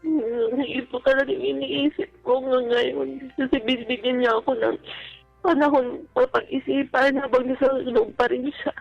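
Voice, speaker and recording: Creaky-voiced Filipino woman caller, lo-fi audio. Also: high voice, steady tone, normal speed. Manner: polite, deferential. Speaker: caller seeking advice on a radio show. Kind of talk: emotional personal account, conversational. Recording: dull lo-fi call audio with music. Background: music.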